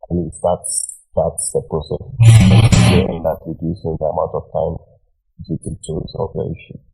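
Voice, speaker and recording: A man who sounds nasal from a cold, calmly explaining steps as a guest on a live audio chat. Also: extremely low pitch, gravelly, husky, faint music behind, low-quality recording. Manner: measured, explanatory, with frequent ums. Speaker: guest panelist. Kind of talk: casual discussion.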